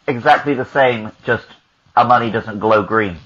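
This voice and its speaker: A man's voice: medium pitch, moderate pace, fairly bright tone, highs cut off. An Englishman co-hosting a casual podcast chat with dry jokes. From England. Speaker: podcast co-host.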